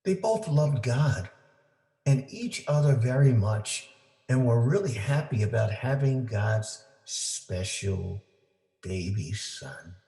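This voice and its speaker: A man reading a children's story aloud for families, with deliberate, simple phrasing for young listeners. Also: mid-range voice, animated, dark tone. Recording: roomy, echoing indoor sound.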